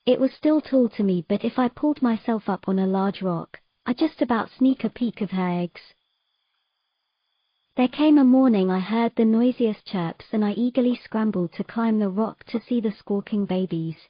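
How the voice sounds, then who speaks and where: A woman's voice: fairly high pitch, moderate pace, dry recording, muffled recording, lightly acted. Dry, close-sounding sorrowful young mermaid played by a woman. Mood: wistful. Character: sorrowful young mermaid recalling her lost family. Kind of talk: audio drama storytelling.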